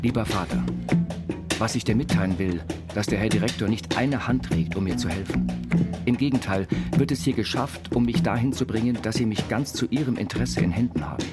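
Guy acting as dreamy institutionalised inventor reading his notes, low voice, measured and formal, music behind. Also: close to an everyday voice. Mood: despairing. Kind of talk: scripted literary reading over music.

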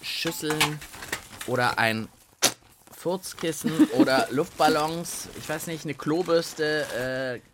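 A man co-hosting a children's science podcast in playful, unscripted banter, musing out loud as he goes. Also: on the high side, slow, background noise.

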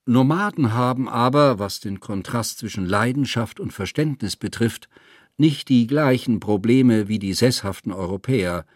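A man's voice: mid-range voice, moderate pace, no room sound, clean studio sound. A man narrating a scripted literary text in a formal, matter-of-fact way.

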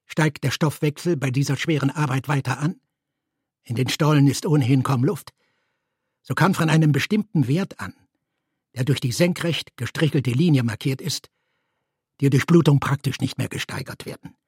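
A man's voice: mid-range voice, normal speed, a little mellow, husky, no echo, clean studio sound. A man giving a dramatic, formal literary reading of a bureaucratic text, with clipped, emphatic phrasing.

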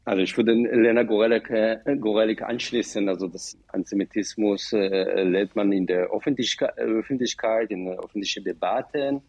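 A man with an Israeli accent, a guest on a radio discussion, speaking haltingly with many fillers and restarts. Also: on the low side, steady tone, a little mellow, breathy edge, dull audio. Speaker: studio guest, professor.

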